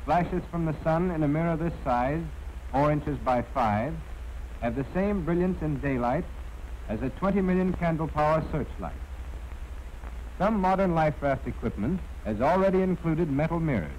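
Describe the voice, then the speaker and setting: An American man reading scripted instructional narration in a formal, measured, precisely enunciated way. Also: a little high, expressive, a little mellow. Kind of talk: scripted training film narration. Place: narration recorded for an archival film. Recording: dull, noisy 1940s film soundtrack.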